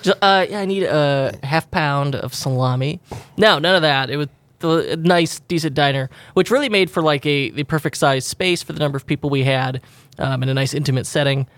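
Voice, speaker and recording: A man's voice: on the high side, fairly quick, fairly bright tone, dry recording. A man co-hosting a podcast in casual chat, rambling and laughing often as he corrects himself. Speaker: podcast co-host.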